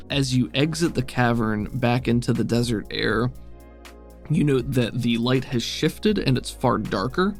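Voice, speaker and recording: A man's voice: medium pitch, gravelly. A man acting as game master, narrating and describing scenes for a tabletop game.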